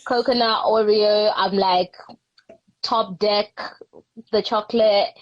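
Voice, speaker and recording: A woman hosting a casual conversation, asking questions and chiming in with quick agreement. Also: mid-range voice. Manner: relaxed, frequent agreeing interjections. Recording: dull lo-fi sound.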